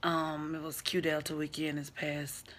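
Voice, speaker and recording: Blunt, sassy woman in her forties, hoarse but bright-toned, live-streaming advice from her phone. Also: low-pitched, completely flat delivery, unhurried. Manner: blunt, sassy, talks straight to viewers.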